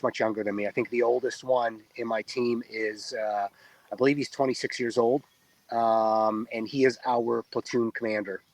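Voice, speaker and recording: A tired man in his forties with an Israeli accent answers interview questions in an earnest, explanatory way, filling pauses with ums and uhs. His voice sits at a middle pitch and sounds close and dry, with no room around it. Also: no room sound, low-quality recording. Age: 40s. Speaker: reserve soldier guest.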